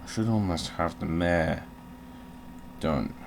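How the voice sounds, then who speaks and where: Slow-talking man, noisy recording. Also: deep voice. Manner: sweary, jokey grumbling. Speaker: video game streamer. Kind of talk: casual gameplay commentary. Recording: clearly noisy background.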